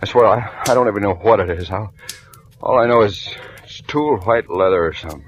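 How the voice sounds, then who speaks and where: An actor playing a beaten, groggy reporter in a 1940s radio thriller, halting and stammering. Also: male voice, fairly low pitch, lively intonation, slightly rough, muffled recording. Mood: pleading, afraid. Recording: noisy vintage 1940s radio recording.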